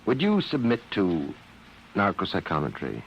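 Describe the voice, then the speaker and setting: An actor playing a composed army psychiatrist, measured and formal, in a noisy vintage radio drama. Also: male voice, low voice, wildly swooping pitch, muffled recording, full character voice. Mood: composed, probing. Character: probing, composed military psychiatrist, male. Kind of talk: 1950s radio drama acting.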